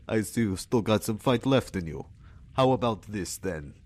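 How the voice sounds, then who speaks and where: A man playing a sadistic Russian ex-intelligence captain in an audio drama, taunting and menacing with theatrical, drawn-out lines. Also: lowish voice, expressive, darkish tone. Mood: sadistic, gloating. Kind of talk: audio drama villain acting.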